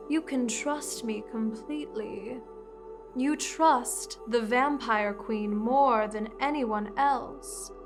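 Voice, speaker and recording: A commanding, seductive vampire queen, as a woman acts her out, drawing out every word at a languid, unhurried pace in a fairly high voice. She lulls and controls with slow, hypnotic phrasing. Soft music plays faintly behind her. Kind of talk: ASMR hypnosis roleplay.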